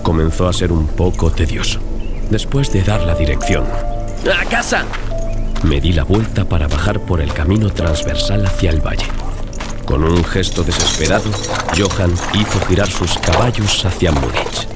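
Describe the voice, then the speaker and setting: Deep-voiced male audiobook narrator. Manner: measured, literary storytelling. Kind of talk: dramatized gothic story narration, scripted. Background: music bed.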